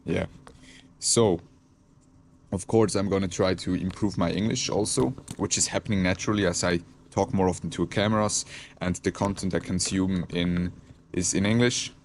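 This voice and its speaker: Low-voiced man with a Swiss German accent. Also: steady tone, normal speed, a little hiss or hum. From Switzerland. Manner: hesitant, searching for words, frequent restarts. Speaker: content creator. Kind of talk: reflective casual talk to camera.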